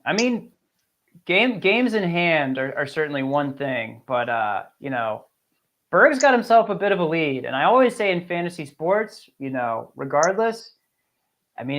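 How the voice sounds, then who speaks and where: A man's voice: fairly high pitch, lively intonation, normal speed, a bit bright. A man co-hosting a fantasy sports show with casual, wry, joking banter.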